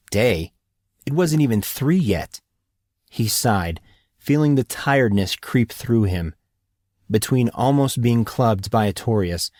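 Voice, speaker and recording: A man's voice: on the low side, a little mellow, no echo, clean studio sound. A man reading a fantasy audiobook as a scripted narration.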